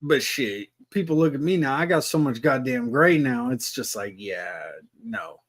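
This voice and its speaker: A man's voice: medium pitch, lively intonation, normal speed. A man in his forties chatting casually, easygoing, with frequent cursing and laughter. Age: 40s. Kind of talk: casual chat.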